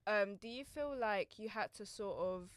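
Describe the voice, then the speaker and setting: A woman's voice: medium pitch, normal speed, dry recording. A woman hosting a podcast interview, asking rambling questions with supportive fillers. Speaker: podcast host. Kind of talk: casual interview chat.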